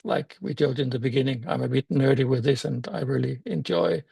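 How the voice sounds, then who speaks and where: An older man with a Danish accent, a native Danish speaker, giving a conference talk in a thoughtful, halting way with many fillers and restarts. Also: medium pitch, fairly even intonation, vocal fry, warm tone, breathy edge, no echo. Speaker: meetup speaker.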